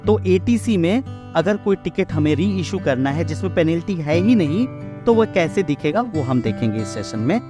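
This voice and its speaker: A man with an Indian accent giving a step-by-step software lesson in an explanatory way, as an instructor. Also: fairly high pitch, expressive, normal speed, music in the background. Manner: explanatory, step by step, checking understanding. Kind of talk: software tutorial narration mixing Hindi and English.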